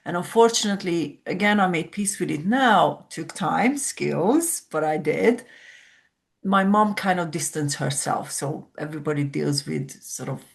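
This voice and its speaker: A woman's voice: sits low, measured pace, creaky. A woman from Hungary, a sleep coach explaining ideas reflectively as a podcast guest. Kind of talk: podcast conversation.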